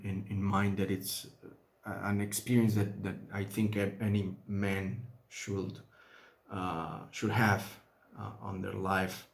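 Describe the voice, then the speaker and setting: Spanish-accented man, lowish gravelly voice, unhurried and halting, casual hobby vlogger at his desk. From Mexico. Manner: halting, thinking aloud with many restarts and fillers. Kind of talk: casual talk while painting miniatures.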